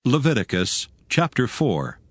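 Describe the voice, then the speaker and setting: A man slowly announcing chapter headings of a scripture reading. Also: mid-range voice, big pitch swings, a little hiss or hum. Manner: slow, deliberate announcing. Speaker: scripture reader.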